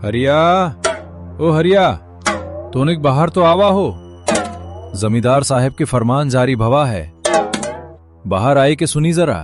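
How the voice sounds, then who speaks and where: A man acts a landlord's rustic servant in a cartoon tale. He speaks slowly and tauntingly, sent to collect debts. Loud music runs behind the voice. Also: mid-range voice, expressive. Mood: commanding. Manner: slow, taunting, drawn-out. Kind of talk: cartoon story dialogue.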